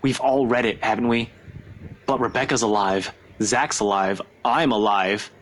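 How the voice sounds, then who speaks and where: An actor playing a gruff, skeptical male detective in a game, blunt and impatient, swearing freely. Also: lowish voice, a little slow, a bit bright, a little hiss or hum. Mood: stern. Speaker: police detective.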